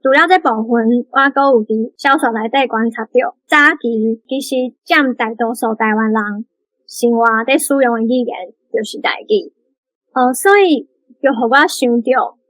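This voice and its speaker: A woman with a Taiwanese accent giving prepared, measured commentary on a book in an explanatory way. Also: on the high side, no room sound, low-quality recording. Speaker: book club member, guest reader.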